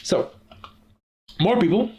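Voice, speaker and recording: A man's voice: takes it slowly, a little mellow. A young man from the Czech Republic with a Czech accent, speaking English as a second language in a profane, ranting casual monologue. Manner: profane, ranting, laughs often. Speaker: YouTuber.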